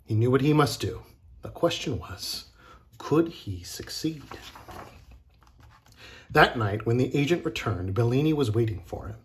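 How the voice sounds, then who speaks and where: A man reading a storybook aloud for children as a teacher, dramatic and deliberate. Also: mid-range voice, lively intonation.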